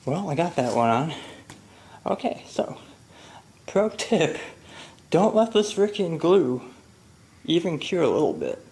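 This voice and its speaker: A man casually explaining a motorcycle grip install while working in his garage, talking slowly with many fillers. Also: fairly high pitch, very animated, a little mellow. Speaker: motorcycle vlogger. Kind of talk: casual how-to narration. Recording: echoey garage with faint ambient noise.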